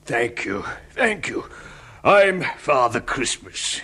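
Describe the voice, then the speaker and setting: Old cartoon Father Christmas, stuffy cold, husky and bright, swoops up and down a lot. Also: medium pitch, sing-song, slow pace, heavily acted. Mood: befuddled. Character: jolly older male Father Christmas with a cold. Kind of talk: cartoon language-lesson acting.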